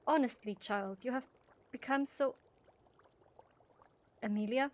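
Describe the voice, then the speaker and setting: A woman's voice: fairly high pitch, slow pace. An actress playing a loving but firm village mother in a period audio drama, soothing yet stern, with formal phrasing.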